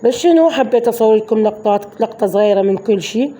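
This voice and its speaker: A woman with an Iraqi Arabic accent, hoarse from a flu, chatting through a voice-over of her daily home routine for her viewers. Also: fairly high pitch, expressive, fast talker, mellow. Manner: chatty, addresses viewers as girls. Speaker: vlogger.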